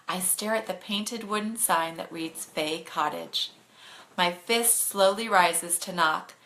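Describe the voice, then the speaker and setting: A woman's voice: fairly low pitch, fairly bright tone. A woman reading a bedtime story expressively to online viewers, as its narrator.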